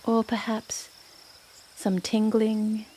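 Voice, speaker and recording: A woman guiding a yoga nidra meditation in a gentle, unhurried manner with long pauses. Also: a little high, completely flat delivery, slight background noise. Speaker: meditation guide. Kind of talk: guided yoga nidra meditation, soothing narration.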